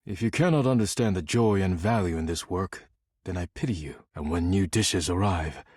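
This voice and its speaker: A man voicing a stern, proud dishware spirit in a video game, speaking in a formal, clipped, deadpan and disdainful way. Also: low voice, moderate pace, slightly rough, dry recording, clean studio sound. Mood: grave, dismissive. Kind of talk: scripted video game character acting.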